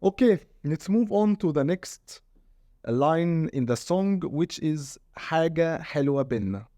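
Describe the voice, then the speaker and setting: A man's voice: on the high side, lively intonation, normal speed, dark tone, no echo, studio quality. A man from Egypt with an Egyptian Arabic accent, a native Arabic speaker, teaching his dialect step by step and asking guiding questions. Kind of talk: casual language lesson.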